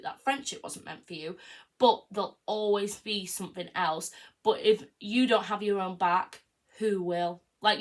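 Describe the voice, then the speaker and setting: Chatty young woman podcasting. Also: mid-range voice. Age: early 20s. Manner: chatty, frank and self-affirming, full of "like" and "you know what I mean". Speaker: podcast host. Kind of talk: casual solo podcast monologue.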